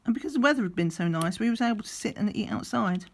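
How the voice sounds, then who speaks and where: A middle-aged woman, slightly croaky, chatting in a rambling way while doing a craft, sometimes counting under her breath. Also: mid-range voice, animated, fast talker. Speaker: crafting vlogger. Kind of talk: relaxed chatty craft vlog. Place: at a craft table indoors.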